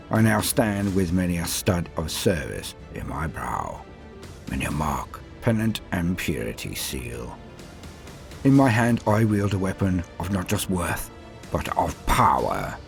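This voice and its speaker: A man playing a proud, coldly resolute veteran space marine captain in a solemn, measured sci-fi war monologue with dramatic pauses. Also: low voice, gravelly, heavily acted.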